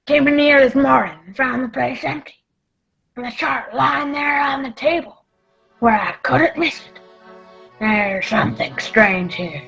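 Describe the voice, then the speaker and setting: A scheming male pirate ringleader from a radio adventure play, acted by a woman. The voice is high yet gravelly and creaky, with slow, deliberate delivery. He blusters and commands in theatrical sea-rover talk. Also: fairly bright tone, faint music behind, heavily acted, poor audio. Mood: hushed, confiding. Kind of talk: stage-style radio play acting.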